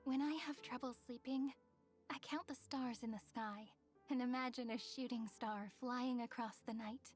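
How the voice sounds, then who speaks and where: A woman playing a gentle, playful young girl in a video game, with a sweet, wistful, softly teasing manner. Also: on the high side, steady tone, normal speed, soft background music, close to an everyday voice. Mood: dreamy, wistful. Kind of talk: video game character voice lines.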